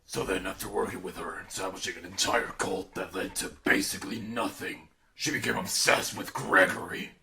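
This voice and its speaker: A man voicing a bitter, unhinged undead killer animatronic father telling an ASMR bedtime story, gravelly and theatrical, moving between menacing murmurs and outraged outbursts. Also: sits low, fairly even intonation, brisk, few pauses, crowd noise. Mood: bitter, quiet.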